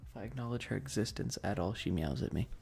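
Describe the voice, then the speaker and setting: A man chatting casually on an ASMR game stream in a soft voice that often slips toward a whisper, laid-back and sweary with slangy, hesitant enthusiasm. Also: on the low side, quick pace, vocal fry, soft background music. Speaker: streamer hosting a live stream. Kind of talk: casual rambling ASMR stream chat about games.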